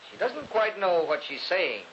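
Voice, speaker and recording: A man's voice: high voice, sing-song, measured pace, fairly bright tone, exaggerated voice. A man playing a tormented, sinister brother in 1940s radio horror style, brusque and melodramatic. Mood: uneasy, curt. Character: tormented, sinister brother of a dying twin sister. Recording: muffled, hissy 1940s radio recording.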